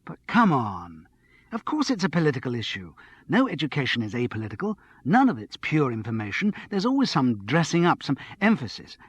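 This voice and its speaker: Man voicing an animated, opinionated young woman. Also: on the high side, fast, no room sound, voice pushed up, close to an everyday voice. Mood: argumentative. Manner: emphatic, argumentative.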